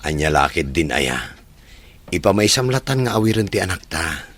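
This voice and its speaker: A man's voice: deep voice, very animated, moderate pace, fairly bright tone, a little hiss or hum. A man playing a firm, eager young husband and father in a radio drama, with an Ilocano accent. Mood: firm, insistent. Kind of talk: Filipino radio drama acting. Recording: noticeable background ambience with birdsong.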